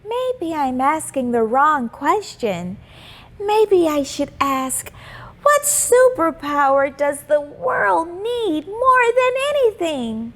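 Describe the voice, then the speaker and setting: A kind, imaginative little schoolgirl from a storybook, played by a woman, thinks out loud with eager wonder. Her voice sits high and swings widely up and down as she exclaims over each idea. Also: sing-song, slow, exaggerated voice. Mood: excited, wondering. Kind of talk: children's storybook character voice.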